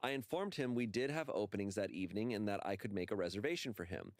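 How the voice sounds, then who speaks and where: A man's voice: quick pace, dry recording. Chatty man reading stories on a podcast. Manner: animated, jokey, acts out quotes in a lower voice. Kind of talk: casual chat and reading posts aloud.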